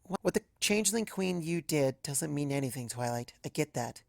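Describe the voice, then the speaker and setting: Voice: mid-range voice, lively intonation, normal speed, gravelly, no room sound. A young pegasus mare, as a storybook narrator plays her, talks in an affectionate, playful way, both teasing and reassuring. The voice sits mid-range in a dry, close recording. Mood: tender, reassuring.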